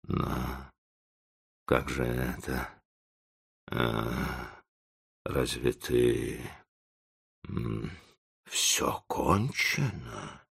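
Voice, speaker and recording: Storybook role: hurt, kindly seventy-year-old professor acted by a man, gravelly, unhurried, plaintive and emotional. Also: low voice, very animated, fairly bright tone, full character voice. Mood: stunned, plaintive. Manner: halting, plaintive, rhetorical questions.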